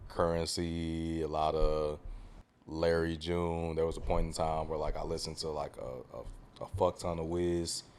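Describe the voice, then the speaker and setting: A man's voice: extremely low pitch, flat delivery, unhurried, darkish tone, clear, resonant tone. An American man from the South hosting a casual music discussion, talking emphatically and repeating phrases for stress. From southern United States. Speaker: podcast host.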